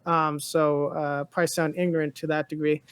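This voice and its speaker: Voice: medium pitch, flat delivery, moderate pace, smooth. A podcast co-host chatting casually about pop culture, rambling and often repeating phrases.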